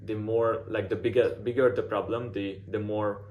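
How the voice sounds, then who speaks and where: Non-native English-speaking man, design mentor, low monotone delivery, filler-heavy casual advice, faint noise on the line. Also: normal speed, phone-quality sound. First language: not English. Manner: frequent "like" fillers and restarts. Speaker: design mentor giving portfolio feedback. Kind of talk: casual feedback session.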